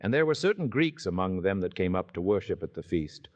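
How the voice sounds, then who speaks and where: A man narrating scripture in a solemn, measured, theatrical manner, in the style of old-time radio drama. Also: low voice, sing-song. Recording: dull, band-limited old-radio sound.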